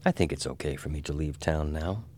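A man's voice: a bass voice, a little fast, darkish tone. A man voicing a cool, wry young man who is a reformed safecracker. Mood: calm.

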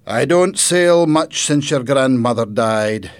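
A man's voice: mid-range voice, expressive, slow pace, clean tone, voice pushed up. Man acting a kindly, proud old grandfather. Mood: sad. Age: older. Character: kindly older Scottish grandfather, proud and a little sad.

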